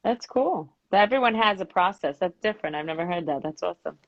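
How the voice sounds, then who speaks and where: A woman hosting a casual interview show, encouraging her guest with quick reactions. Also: on the low side, animated, dry recording. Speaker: talk show host. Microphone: video call. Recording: dull lo-fi video call audio.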